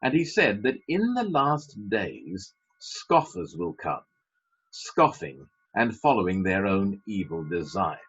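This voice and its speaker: A man's voice: sits low, big pitch swings, slow pace, a bit bright, breathy edge. A man preaching a sermon as a pastor, emphatic, with wry asides. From Britain. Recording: dull lo-fi sermon recording.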